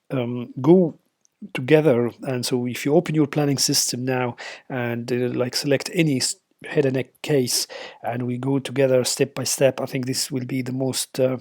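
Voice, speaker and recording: A middle-aged man whose first language is Arabic walks through an informal lecture, stopping often on ums and uhs and restarting his sentences. His voice is mellow and relaxed, sitting at an ordinary pitch for a man. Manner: hesitant, many fillers and restarts. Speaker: radiation oncology lecturer.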